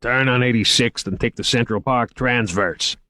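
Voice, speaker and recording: A man's voice: mid-range voice, normal speed, pushed and bright, dry recording, voice pushed up, close to an everyday voice. A man voicing a blunt, exasperated male police lieutenant. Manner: brash, exasperated.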